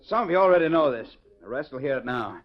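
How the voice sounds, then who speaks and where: A man's voice: medium pitch, lively intonation, fast, close to an everyday voice. A man playing an angry, stubborn, blustering cattle boss in a 1940s western radio drama. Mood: commanding, infuriated. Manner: blustering, commanding, folksy western phrasing. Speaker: actor. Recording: dull, lo-fi old radio recording.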